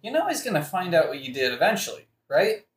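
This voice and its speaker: A woman playing a prince's unimpressed male friend in a comedic audio play, with dry, deadpan delivery. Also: on the low side, fast. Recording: roomy echo.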